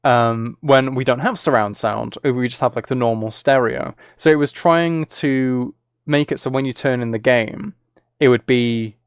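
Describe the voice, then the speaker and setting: Casual male streamer with creaky voice. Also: medium pitch, dry recording, studio quality. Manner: rambling, thinking aloud. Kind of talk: casual livestream chat.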